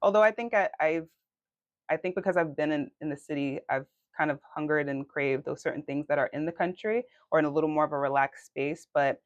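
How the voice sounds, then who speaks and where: Young new woman, low voice, earnest, co-hosting a podcast, sounds a bit muffled. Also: fairly quick, dry recording. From New York City, Queens. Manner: earnest, faith-filled, laughs often. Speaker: podcast co-host. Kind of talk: casual chat.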